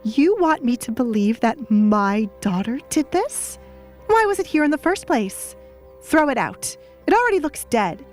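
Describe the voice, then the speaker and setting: A woman's voice: sits high, big pitch swings, unhurried, a little mellow, soft background music, voice pushed up. A woman voicing a harsh, imperious middle-aged baroness in an audio drama, with a cold, commanding manner. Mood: scornful, angry. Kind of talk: audio drama character acting.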